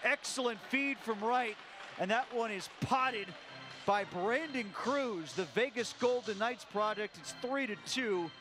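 High-voiced hockey play-by-play man. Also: over music. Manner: rapid calls that rise sharply on goals. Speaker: play-by-play announcer.

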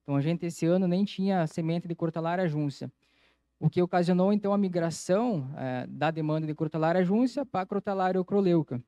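Male lecturer, slightly high mellow voice, methodical explainer presenting at a conference. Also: dry recording, clean studio sound. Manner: explanatory, methodical, frequent "então" connectives. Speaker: agronomy lecturer. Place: auditorium stage, indoors. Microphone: handheld microphone.

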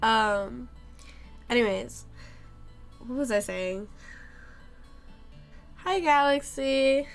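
A young woman talks to her stream in a loose, rambling way, filling pauses with ums. She is sick and her voice comes and goes. Soft music plays faintly behind her. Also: on the high side. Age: young adult. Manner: chatty, rambling, many ums. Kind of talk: casual live-stream chat.

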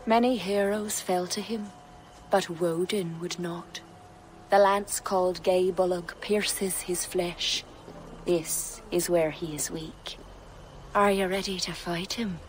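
A woman playing a solemn, mystical pagan druid in a video game, grave, measured and cryptic. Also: lowish voice, steady tone, slight background noise, low-quality recording. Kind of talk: dramatic video game acting. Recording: faint background ambience.

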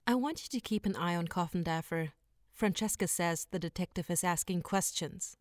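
A stern female crime clan boss in an audio drama, acted by a woman. Her voice sits a little low and dry, and she gives curt, withering commands. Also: moderate pace, no room sound, close to an everyday voice. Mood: cold, commanding.

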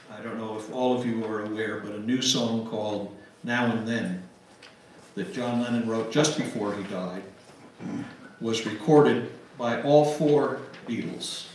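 A man in his seventies giving a reflective church address in a measured way, with long pauses. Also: medium pitch. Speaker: guest giving closing words. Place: church sanctuary, at pulpit. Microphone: gooseneck pulpit mic, picked up by far camera. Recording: very echoey church, distant mic, background noise.

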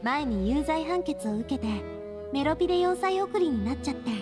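High-voiced, poised young lady leader. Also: expressive, moderate pace, big-room sound, music in the background. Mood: friendly, assured. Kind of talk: anime game voice acting.

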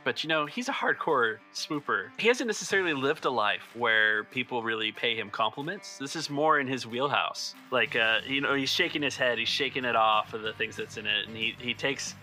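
Very bright, forceful male game master. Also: mid-range voice, lively intonation, moderate pace, hardly any pauses. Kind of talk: improvised narration of a tabletop game. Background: music.

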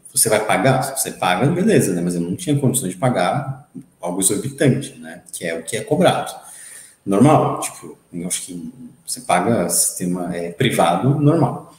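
A man's voice: low-pitched, echoey room, low-quality recording. A man, a guest telling rambling stories with plenty of fillers on a video call from home through an earphone mic. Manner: rambling, lively storytelling with many fillers. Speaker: interview guest, game writer. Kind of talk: casual video-call interview. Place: small room at home, indoors.

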